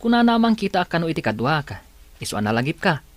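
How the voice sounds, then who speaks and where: A man's voice: on the high side, sing-song, fast, no room sound. A man playing a friendly village farm worker in an Ilocano audio drama. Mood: friendly, inviting.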